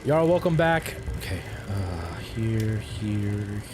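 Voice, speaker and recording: A man's voice: on the low side, very animated, slow. A man in his thirties from El Salvador with a light Canadian accent, chatting casually with viewers on a live stream, rambling with many restarts. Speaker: livestreamer. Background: faint music.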